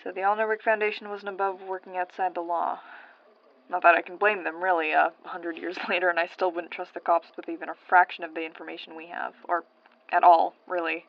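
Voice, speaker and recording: A woman's voice: lowish voice, level tone, brisk, creaky, dull audio, slight background noise. A woman playing a nervous, wryly curious modern archivist with a hesitant manner, in a naturalistic audio drama. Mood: musing. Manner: hesitant, slightly awkward.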